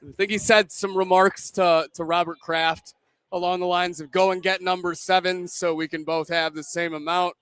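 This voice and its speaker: A man's voice: sits high, level tone, normal speed, fairly bright tone, clean tone, no room sound. A young man co-hosting a sports pre-game show from a pizza pub, rambling with self-deprecating banter. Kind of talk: casual sports talk.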